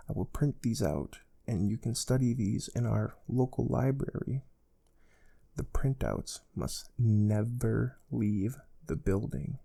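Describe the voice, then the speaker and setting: A man playing a calm, soft-spoken space research team interviewer in an ASMR role play, explaining things gently and without hurry. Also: on the low side, gravelly, slightly rough, exaggerated voice. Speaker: ASMR performer.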